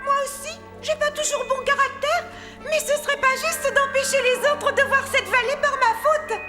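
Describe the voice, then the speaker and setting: A woman's voice: extremely high pitch, moderate pace. A woman voicing a bossy, grumpy little male animal in a cartoon, brash and squawky. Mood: gruffly sincere. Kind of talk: cartoon dub acting. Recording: loud music under the dialogue.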